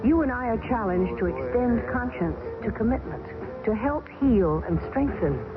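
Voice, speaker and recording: A woman's voice: fairly high pitch, animated, moderate pace, music in the background. A woman reading a scripted public service appeal in an earnest, dignified manner. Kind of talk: scripted announcement. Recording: tinny old radio ad.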